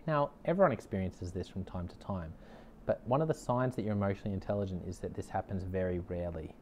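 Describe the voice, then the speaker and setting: A middle-aged man with an Australian accent and a somewhat low voice talks straight to his listeners about leadership. He sounds earnest and upbeat, and he repeats his main points for emphasis. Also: fast, slight background noise. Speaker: leadership consultant and podcast host. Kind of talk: direct-to-camera motivational talk.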